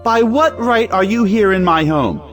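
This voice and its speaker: An actor playing a domineering, hot-tempered moralizing brother in an audio play, commanding and blustering. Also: male voice, high voice, lively intonation, normal speed, faint music behind. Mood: outraged. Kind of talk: audio play acting of an old stage drama.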